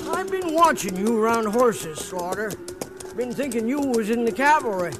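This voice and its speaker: Old-time radio sidekick: wry American old-timer, strikingly high voice, folksy drawl, noisy recording. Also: male voice, lively intonation, normal speed. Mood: curious, wry. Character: nosy, wry old trail-hand sidekick. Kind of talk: 1950s western radio drama acting.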